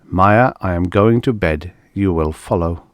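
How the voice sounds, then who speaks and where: A man's voice: low voice, unhurried, no room sound, clean studio sound. A man voicing a domineering, pompous diplomat husband in a story, with a contemptuous, commanding, scolding manner. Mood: calm, commanding.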